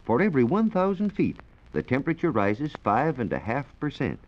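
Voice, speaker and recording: A man explaining science in a measured, precise way as a reporter on a 1940s radio program. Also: medium pitch, huge pitch swings. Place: radio studio. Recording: dull, noisy 1940s radio recording.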